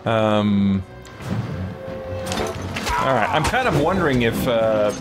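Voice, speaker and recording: A man's voice: on the low side, sing-song, takes it slowly. A middle-aged man giving casual let's play commentary as a game streamer. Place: small room lined with shelves, indoors. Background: game music.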